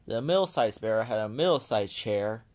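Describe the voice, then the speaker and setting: A man narrating a children's storybook in an animated read-aloud style. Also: on the low side, normal speed.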